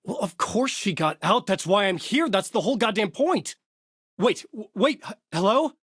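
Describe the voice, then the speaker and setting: An actor playing a brooding, haunted male writer who gravely narrates his own horror story in a husky voice for a video game. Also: high-pitched, sing-song, moderate pace, fairly bright tone, no echo, studio quality, full character voice. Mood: agitated, frustrated.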